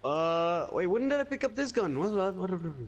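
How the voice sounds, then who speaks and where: A young man chatting casually as he plays online, halting and repeating himself. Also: high-pitched, animated, dull audio. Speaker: online co-op gamer.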